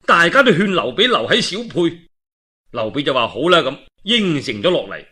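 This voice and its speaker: A man's voice: fairly high pitch, very animated, normal speed, pushed and bright. A man with a Cantonese accent telling a historical novel in a traditional oral style, with colloquial asides and dramatic phrasing. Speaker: storyteller.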